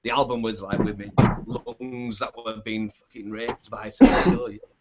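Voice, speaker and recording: A male band singer with a scratchy, raspy voice, chatting in a laid-back, rambling way in an interview and swearing casually. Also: on the low side, flat delivery, normal speed. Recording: dull lo-fi sound.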